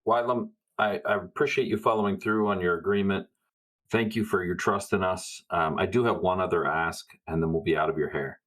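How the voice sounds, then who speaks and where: A man's voice: low-pitched, fairly even intonation, a little fast, fairly bright tone, poor audio. A man playing a tabletop role-playing game, speaking casually and politely as he improvises in character.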